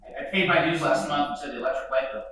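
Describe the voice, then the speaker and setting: A man's voice: fairly high pitch, fast talker, sharp tone, a little hiss or hum. An actor playing a restless young adult son, wry and jittery, who stumbles over his words. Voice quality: wry, jittery, stammering restarts. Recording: echoey roomy sound from far off.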